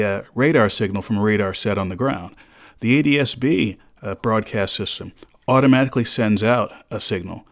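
A man giving measured, precise explanations as an expert guest in a radio interview. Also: lowish voice.

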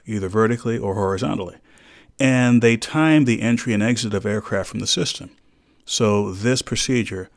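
A man giving measured, precise explanations as an expert guest in a radio interview. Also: fairly low pitch, vocal fry.

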